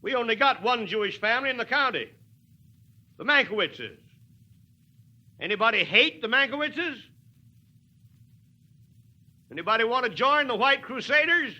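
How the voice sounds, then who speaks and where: High, forceful, folksy small-town mayor. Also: male voice, expressive, moderate pace, long pauses, heavily acted. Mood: wry, challenging. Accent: American. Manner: folksy, oratorical, wry. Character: plainspoken, stubborn small-town mayor facing down a mob. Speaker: radio drama actor. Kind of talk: 1940s American radio acting. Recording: old 1940s radio broadcast.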